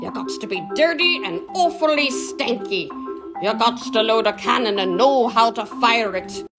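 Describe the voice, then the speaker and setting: A woman playing a blustering male pirate captain in a children's storybook, talking in drawn-out pirate slang. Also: fairly high pitch, lively intonation. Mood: commanding. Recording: marimba and xylophone music behind.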